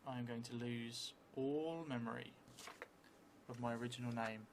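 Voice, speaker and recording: A middle-aged man improvising a story for a solo tabletop game, haltingly thinking aloud and dictating as he writes. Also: mid-range voice, steady tone. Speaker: solo role-playing streamer. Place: indoor theatre room, seated at a table. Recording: clearly noisy room recording.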